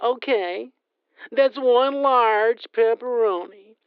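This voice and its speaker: A woman's voice: a little high, slow pace, clean tone, no echo, dull audio. A woman playing a new young pizza clerk who keeps upselling in a children's comedy skit. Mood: chirpy. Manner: chirpy upselling, flustered.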